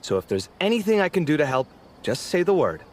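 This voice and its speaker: An actor playing an animated, sociable young man who bickers sarcastically with his roommate, in a game cutscene. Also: fairly high pitch, fast talker, slight background noise. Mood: friendly. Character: expressive sociable young man architect, easily exasperated. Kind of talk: video game cutscene voice acting.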